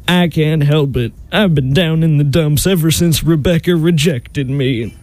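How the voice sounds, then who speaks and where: Man acting a high-pitched lovesick Southern guy. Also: measured pace, darkish tone, no echo, natural voice. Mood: plaintive, folksy. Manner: folksy, hammy. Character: lovesick, down-on-his-luck Southern man with folksy drawl. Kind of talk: comic radio play acting.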